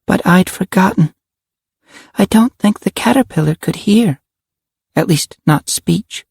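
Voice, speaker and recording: A man's voice: high-pitched, unhurried, gravelly, a little mellow, slightly rough, no echo, clean studio sound, pitched above the performer's own voice, exaggerated voice. A man voicing a solemn little girl in an audiobook, speaking in a grave, pleading manner. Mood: grave, regretful. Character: solemn little girl with an alien controller inside. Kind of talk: audiobook character acting.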